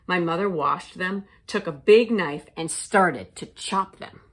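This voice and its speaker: A woman reads a picture book aloud as a teacher would. Her voice sits a little low, and she takes her time, phrasing each line expressively for young children. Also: measured pace. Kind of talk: children's storybook read-aloud.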